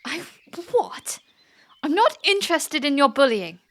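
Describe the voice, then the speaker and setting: A woman acts as a young princess with a high voice and an unhurried pace. She is defiant and expressive, easily flustered and indignant, in a fantasy audio drama. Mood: wary, confused.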